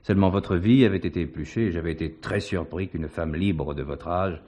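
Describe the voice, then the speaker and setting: A male actor plays a shrewd police commissioner in a stage mystery. His voice sits very low, and he speaks in measured, theatrical phrases. He questions his suspect with assured, ironic courtesy. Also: dark tone, lightly acted. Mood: assured, unmasking. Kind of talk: French stage mystery acting.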